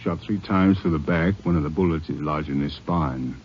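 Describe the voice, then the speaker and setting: An actor playing a grave, sympathetic hospital doctor in a 1950s radio drama, subdued and terse. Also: male voice, very deep voice, normal speed. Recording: dull old radio recording, faint noise.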